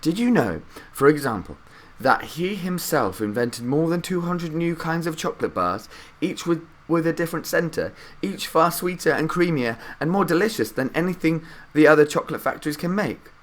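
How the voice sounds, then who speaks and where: A man voicing an excitable, adoring grandfather in his nineties in a children's story, with emphatic delivery. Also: on the high side, moderate pace, pitched above the performer's own voice, close to an everyday voice. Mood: admiring. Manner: emphatic, exclamatory.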